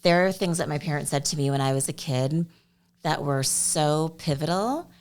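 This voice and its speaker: A woman from Connecticut with a low voice and some gravel to it. She talks as a podcast guest, looking back on her life in a thoughtful, storytelling way, laughing lightly now and then. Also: moderate pace, a little mellow. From Connecticut, USA. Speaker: guest, surgeon. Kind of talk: casual interview chat.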